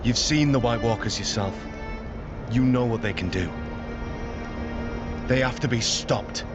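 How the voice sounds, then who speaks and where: An actor playing a solemn, dutiful young northern commander in a video game cutscene, speaking in a grave, measured way. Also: male voice, mid-range voice, close to an everyday voice. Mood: grave, explaining. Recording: dull muffled audio under loud music.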